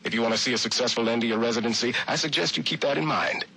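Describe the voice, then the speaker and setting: A crooked, cynical lawman with a forceful, middle-pitched male voice. He is brusque and street-wise, talking down to people. There is faint noise on the audio-log recording. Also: very fast, vocal fry, rough recording. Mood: stern, dismissive. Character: gruff, cynical, corrupt tough lawman. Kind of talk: video game audio log acting.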